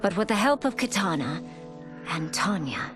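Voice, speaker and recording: An actress voicing a proud, resolute young empress in a game, narrating in a regal, declarative manner. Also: female voice, lowish voice, big pitch swings, moderate pace. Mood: grave. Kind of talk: dramatic scripted narration. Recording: voice over music.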